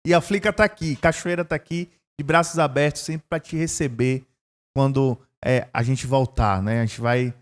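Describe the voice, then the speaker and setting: A man hosting an interview for a literary festival, asking warm and encouraging questions. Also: mid-range voice, fairly even intonation, moderate pace. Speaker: interview host.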